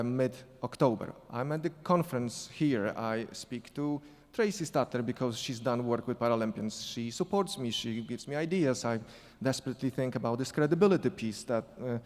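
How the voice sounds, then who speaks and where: A man speaking English with a non-native accent, telling a story on a conference panel, halting and restarting often. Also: medium pitch, darkish tone. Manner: halting, many restarts and fillers. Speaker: sport psychology consultant on a panel. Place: conference hall. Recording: echoey hall reverb.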